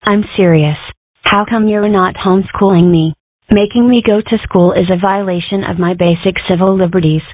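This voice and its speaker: A woman's voice: medium pitch, fairly even intonation, moderate pace, no echo, close to an everyday voice. A woman plays a mischievous young cartoon schoolgirl with big words and an indignant, theatrical streak. The sound is very dry and thin, squeezed like a phone line. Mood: indignant, wordy. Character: Millie, precocious, argumentative, mischievous young schoolgirl cartoon character. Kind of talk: comic strip dub. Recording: muffled lo-fi phone-like home recording.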